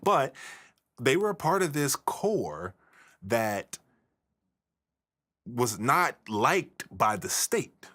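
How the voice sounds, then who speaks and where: A man's voice: medium pitch, big pitch swings, very slow, long pauses, fairly bright tone. A man teaching religious history in an informal, colloquial and emphatic lecture style.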